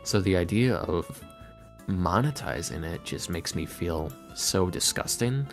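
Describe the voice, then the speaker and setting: A man being interviewed on a casual podcast as a guest, speaking in a halting, reflective, self-deprecating way. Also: sits low, animated, gravelly, soft background music. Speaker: interview guest, ASMR roleplay creator.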